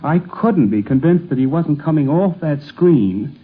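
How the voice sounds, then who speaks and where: A man's voice: fairly high pitch, animated, a little slow, slightly rough. A man in his forties from the United States, telling family anecdotes conversationally on an old 1950s radio recording. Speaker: interviewee recalling family life. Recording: tinny, faintly noisy 1950s radio recording.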